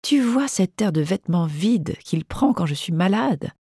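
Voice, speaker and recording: A reader voicing an ailing, teasing older mother in a literary audiobook, raising the voice for her sharp, fretful words. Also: medium pitch, expressive, a little slow, breathy edge, dry recording, studio quality, pitched above the performer's own voice. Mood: mocking.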